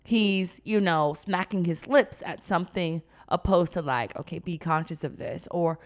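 A woman's voice: on the low side, moderate pace. A woman co-hosting a podcast in casual, slangy conversation, telling stories emphatically and acting out what people say. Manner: animated storytelling with acted-out quotes, emphatic slangy delivery. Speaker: podcast co-host.

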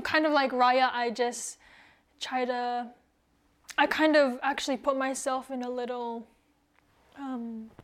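Young woman, hesitant and soft, slightly high voice with a flat, even melody. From United States. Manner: soft-spoken, hesitant, many ums. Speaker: returned missionary guest. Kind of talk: casual personal interview.

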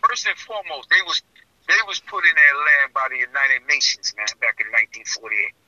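A man's voice: mid-range voice, animated, a little fast, bright, forceful tone, dry recording. An American man in impassioned religious debate, speaking emphatically, repeating himself and posing rhetorical questions. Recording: muffled, lo-fi distant call audio.

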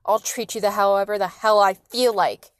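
A woman's voice: high voice, unhurried, darkish tone, dry recording. A woman voicing an angry, contemptuous, abusive father in a dramatic fan fiction reading. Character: furious, menacing abusive father, a man with fire powers.

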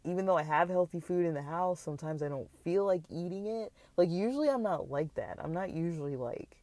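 Vlogger, slightly stuffy and raspy, mellow dark tone, dry close sound; rambling, hesitant delivery full of "like" and "um". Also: medium pitch, expressive, a little fast, dry recording. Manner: rambling, hesitant, many fillers; candid and reflective. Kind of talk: casual personal vlog talk.

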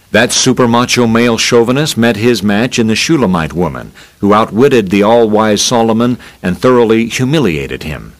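A man reading a scripted scholarly essay aloud in a measured, formal and expressive narrating style. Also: fairly low pitch. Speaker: reader of a scholarly talk.

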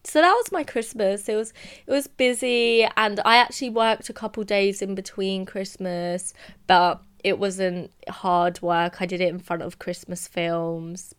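A woman's voice: mid-range voice, steady tone, a little slow, smooth. A young woman, a podcast co-host, chatting casually and telling a story with lots of "like" fillers. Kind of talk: casual chat.

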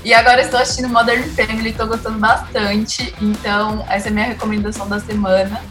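A young Brazilian woman with a somewhat high voice speaks as a podcast panelist. She talks in a casual, conversational way. Music is clearly audible behind her. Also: level tone, fast, a bit bright. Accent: Brazilian Portuguese. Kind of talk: casual chat.

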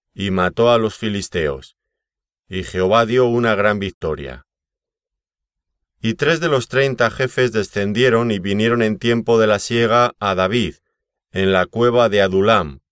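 A man reading scripture aloud as scripted narration, in a solemn, measured way with deliberate phrasing. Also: on the low side, smooth, no room sound, clean studio sound. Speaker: Bible reader.

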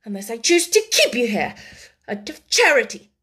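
A woman voicing a haughty, domineering schoolmistress in an audiobook, sharp-tempered, scolding and imperious. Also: on the high side, sing-song, moderate pace. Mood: angry, demanding. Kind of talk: audiobook character acting.

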